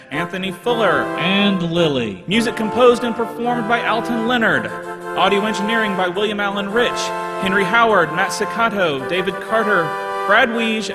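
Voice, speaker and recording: High-voiced male announcer over loud music. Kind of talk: scripted credits announcement to live audience. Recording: live stage recording under loud music.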